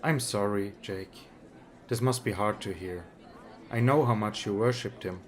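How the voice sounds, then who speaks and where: A man's voice: fairly low pitch, a little slow, close to an everyday voice. A man playing a weary, resigned middle-aged father in an amateur audiobook drama, speaking frankly in a casual, subdued way. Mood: apologetic. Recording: background crowd chatter.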